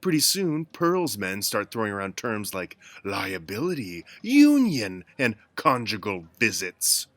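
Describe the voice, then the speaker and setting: Sardonic gangster boss male actor, lively swoops in pitch, smug threats and jibes, 1940s radio spoof. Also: unhurried, few pauses, no room sound, exaggerated voice. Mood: menacing. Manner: taunting, wisecracking, menacing. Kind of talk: parody of 1940s radio acting.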